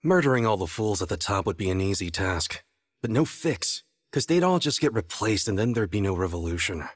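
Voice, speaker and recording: A man's voice: on the low side, big pitch swings, a little fast, breathy edge, dry recording, clean studio sound. A man voicing a cocky, playful young sorcerer teacher in an anime dub, with a breezy, teasing, theatrical manner. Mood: low, cynical. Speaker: voice actor in an English anime dub. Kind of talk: animated dub dialogue.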